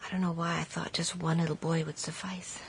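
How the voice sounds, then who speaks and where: A woman's voice: low-pitched, little pitch movement, a little fast, gravelly, a little hiss or hum. An actress playing an earnest, anxious young wife and mother in a period radio drama. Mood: frustrated.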